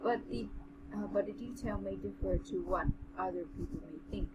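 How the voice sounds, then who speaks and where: Casting: a woman as composed young male general, matter-of-fact, mid-pitched, amateur audio drama, noisy recording. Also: normal speed, lightly acted. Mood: measured.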